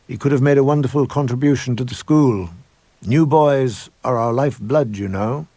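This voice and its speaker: A man's voice: mid-range voice, moderate pace, warm tone, dry recording, voice pushed up, close to an everyday voice. A man voicing a proud, sinister older school headmaster in a supernatural tale, pompous and theatrical in his delivery. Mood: smoothly menacing. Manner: theatrical, declamatory, grandiose.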